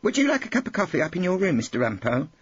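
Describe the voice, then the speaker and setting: Guy doing an insistent office lady, voice pushed up high, brisk, roomy recording. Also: huge pitch swings, slightly rough, dull audio, full character voice. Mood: attentive. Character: attentive, insistent female chambers clerk.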